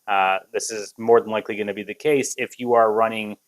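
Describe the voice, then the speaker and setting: A man's voice: on the low side, fairly even intonation, fairly quick, poor audio. A man, hosting a casual product review in an upbeat, conversational manner. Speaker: tech review video host.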